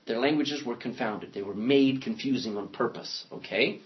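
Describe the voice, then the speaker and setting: A man's voice: medium pitch, normal speed, highs cut off. A man teaching a Bible class in a classroom, joking and thinking aloud as he lectures.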